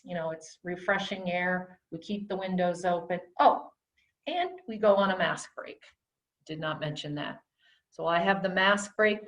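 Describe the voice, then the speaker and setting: A middle-aged woman teacher talking loudly and chattily through a face mask, walking parents around her small classroom on a handheld camera. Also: medium pitch. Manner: loud, chatty, rambling.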